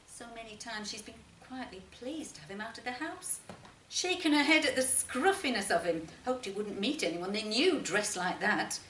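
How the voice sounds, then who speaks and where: A woman reading literary fiction aloud as an expressive narrator, with deliberate phrasing and dramatic pauses. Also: high-pitched. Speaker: short story reader. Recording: roomy, distant mic, faint noise.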